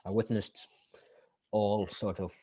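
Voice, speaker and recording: A man, a guest answering interview questions in a halting way and often restarting mid-sentence. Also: lowish voice, level tone, dark tone, breathy edge, no echo. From Iraq. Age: 30s. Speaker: guest, activist. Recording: dull, lo-fi dry recording.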